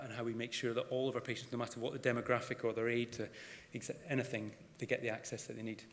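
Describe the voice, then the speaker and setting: A Scottish man gives a conference talk in a casual, unhurried way, often restarting his sentences. His voice sits at an ordinary pitch for a man. A long reverb from the large hall trails behind his words. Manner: conversational, with frequent restarts and fillers. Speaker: GP and conference speaker. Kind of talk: conference presentation.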